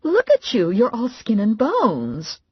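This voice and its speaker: A woman voicing a fussing middle-aged mother in an audiobook, high and shrill. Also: huge pitch swings, slow pace, slightly rough, no room sound, highs cut off, full character voice.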